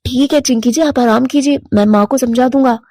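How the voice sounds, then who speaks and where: A woman's voice: sits high, brisk, mellow, no room sound, pitched below the performer's own voice. A woman voicing an obedient, henpecked young son crow in a cartoon story, earnest and meek. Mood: compliant. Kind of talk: animated cartoon moral story.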